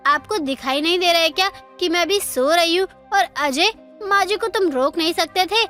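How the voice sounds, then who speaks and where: A woman's voice: very high voice, normal speed, pushed and bright. A woman voicing a lazy, insolent young daughter-in-law who argues back in a moral story cartoon. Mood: indignant. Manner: defiant, argumentative. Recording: music under the voice.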